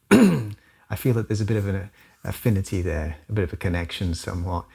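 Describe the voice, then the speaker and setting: Low-voiced man explaining music theory. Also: moderate pace, vocal fry, husky. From South Wales. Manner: relaxed, thoughtful, casual with fillers. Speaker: music teacher. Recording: song playing loudly behind.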